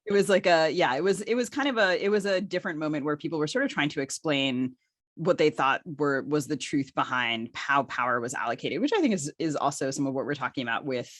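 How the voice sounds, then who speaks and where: A man's voice: on the high side, fairly quick, fairly bright tone, dry recording, clean studio sound. A man hosting a conversational interview, building his questions discursively as he thinks aloud. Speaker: podcast co-host, interviewer.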